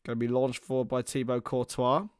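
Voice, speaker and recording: A man's voice: mid-range voice, level tone, a little fast, smooth, no echo, studio quality. A young man chatting with viewers and calling a football match live on a watchalong stream. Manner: chatty, jumps between match calls and banter with chat. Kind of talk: casual live commentary and chat with viewers.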